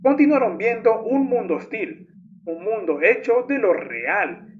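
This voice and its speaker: A man's voice: sits high, sing-song, a little slow, slight background noise. A man reading scripted narration as a narrator. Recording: dull lo-fi audio with music. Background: music.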